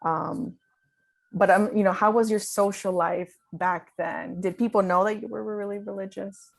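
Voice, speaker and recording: A woman's voice: medium pitch, steady tone, normal speed, vocal fry. A woman conducting an informal interview, feeling her way through tentative, meandering questions. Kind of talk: informal oral history interview.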